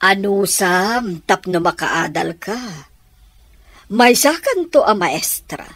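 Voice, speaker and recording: A woman's voice: mid-range voice, animated, slow, fairly bright tone, dry recording. A woman playing an indulgent middle-aged mother with a coaxing, doting manner and a Filipino accent, in radio drama style. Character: indulgent middle-aged mother pampering her spoiled child.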